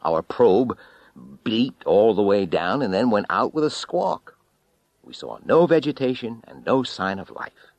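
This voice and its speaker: A man narrating a first-person story as an audiobook reader, expressive and brooding, with dramatic pauses. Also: on the low side, no echo.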